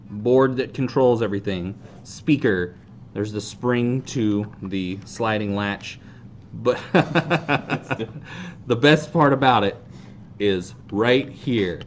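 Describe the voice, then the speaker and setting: A man in his thirties casually hosting a hands-on how-to demonstration in a deadpan, self-deprecating way. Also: on the low side. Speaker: host of a fan group's how-to video. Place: indoor tabletop video shoot. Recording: clear background noise.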